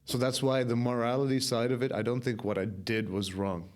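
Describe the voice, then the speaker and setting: A young man from Ireland with a softened, somewhat Americanized Irish accent, telling his own story candidly and casually as a podcast guest. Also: mid-range voice, flat delivery, a little fast. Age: young adult.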